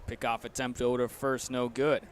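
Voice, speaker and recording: A man's voice: medium pitch, level tone, moderate pace, a little hiss or hum. A young American man doing live play-by-play baseball commentary on a headset mic from a ballpark. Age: young adult.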